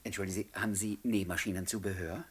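A man's voice: low-pitched, fairly even intonation, quick pace, slightly rough. An actor playing a cheerful, penniless con man in a 1960s radio comedy, with a playful, theatrical, mock-courteous manner. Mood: mock-polite, sly. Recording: faintly noisy 1960s radio recording.

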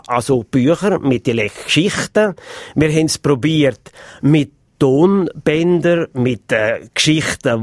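A man with a Swiss German accent, answering radio interview questions hesitantly, with restarts and pauses to search for words. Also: fairly high pitch, no room sound, clean studio sound. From Graubünden, Switzerland. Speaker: association president interviewed.

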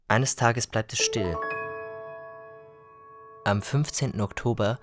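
A man narrating a literary story for a radio feature in a measured storytelling manner. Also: fairly low pitch. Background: music.

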